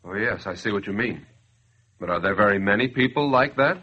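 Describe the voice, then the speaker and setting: A man's voice: lowish voice, animated, a little fast, breathy edge. A man acting a doubtful ordinary citizen in 1940s radio style, hesitant and questioning. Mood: unsure. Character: doubtful ordinary citizen, slowly won over. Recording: dull muffled 1940s radio recording.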